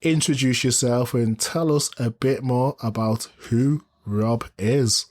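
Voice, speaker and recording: A man's voice: medium pitch, takes it slowly, a little mellow. A man hosting an interview podcast, asking long, polite and fairly formal questions. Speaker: podcast host.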